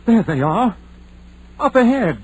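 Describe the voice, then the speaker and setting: A man's voice: very high voice, big pitch swings, a little slow, a little mellow, full character voice. An actor playing a frightened, reluctant country townsman, hesitant and folksy, in an old radio horror play. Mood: alarmed. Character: frightened, reluctant rustic townsman, nervous and superstitious. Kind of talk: 1940s American radio horror acting. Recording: noisy old radio recording.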